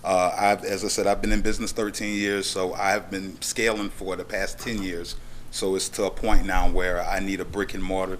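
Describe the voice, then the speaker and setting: Deep, flat-voiced man, steady and plain. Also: normal speed, slight background noise. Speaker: business owner applicant. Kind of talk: public hearing statement. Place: county commission meeting room.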